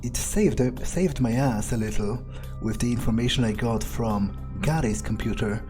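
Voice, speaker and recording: A man's voice: mid-range voice, normal speed, a little mellow, soft background music, lightly acted. A man playing a cynical, menacing vampire who muses aloud in a brooding, profane way, as in-character game roleplay. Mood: musing. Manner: brooding, hesitant, profane musing. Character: cynical, menacing male vampire talking through his thoughts. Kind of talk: in-character gameplay roleplay monologue.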